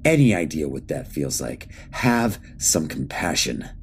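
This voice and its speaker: Protective captain, low voice, earnest, audio drama, faint background noise. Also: male voice, big pitch swings, unhurried, gravelly, full character voice. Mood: concerned, protesting.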